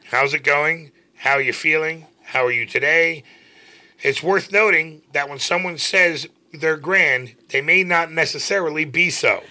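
A middle-aged man co-hosting a casual show, reading slang out loud with jokes and a mock Irish accent. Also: on the high side. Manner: joking, puts on a playful Irish accent for the sample sentences. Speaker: co-host.

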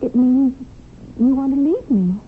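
An actress playing a gentle, perceptive, hurt wife in a radio drama, speaking plaintively. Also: female voice, high voice, animated, unhurried. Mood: wounded. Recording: tinny, noisy vintage radio broadcast.

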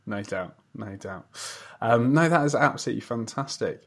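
A male podcast host with a mid-pitched voice, chatting casually with a guest. He comes across as agreeable and encouraging, speaking in an informal, conversational way. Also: expressive, moderate pace. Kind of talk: casual interview chat.

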